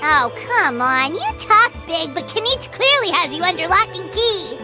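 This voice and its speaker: A woman voicing a small, chatty non-human companion creature who talks bluntly and excitably in a video game. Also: extremely high pitch, moderate pace, over music.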